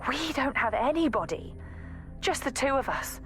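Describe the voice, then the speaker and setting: A woman's voice: high voice, animated, moderate pace, a bit bright, faint music behind. An actress playing an exasperated, protective young woman assassin in a video game audio log, scolding and pleading emphatically. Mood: reasoning, insistent.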